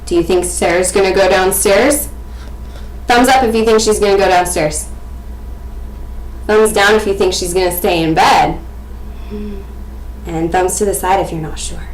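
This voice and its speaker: A woman in her twenties presenting like a teacher, expressive and questioning, as in an interactive read-aloud. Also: mid-range voice, moderate pace, pauses often. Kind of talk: interactive picture-book reading and explanation for children. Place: small domestic room indoors. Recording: echoey room, faint background noise.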